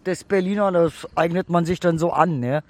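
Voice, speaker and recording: A woman's voice: on the low side, normal speed. Easygoing woman from Baden-Württemberg. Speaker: interviewee. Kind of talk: casual answers.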